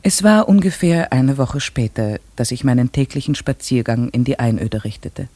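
An actress playing an earnest, strict village schoolteacher in a radio play, speaking in a measured, precise way. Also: female voice, very deep voice, dark tone, dry recording. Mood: reflective, measured. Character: earnest, strict village schoolteacher, a woman narrating her memories. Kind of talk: classic German radio play acting, literary narration.

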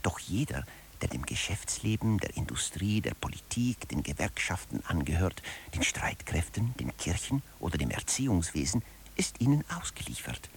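A man's voice: low voice, sing-song, moderate pace, creaky, a little hiss or hum, exaggerated voice. An actor playing a management theorist who narrates his principle in a formal, didactic radio lecture.

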